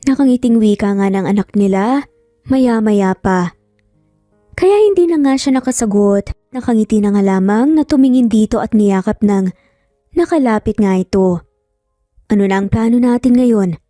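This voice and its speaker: Bright-- Filipino woman narrating a story. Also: mid-range voice, animated, normal speed, no echo, studio quality. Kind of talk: scripted dramatic story narration. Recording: soft music under the voice.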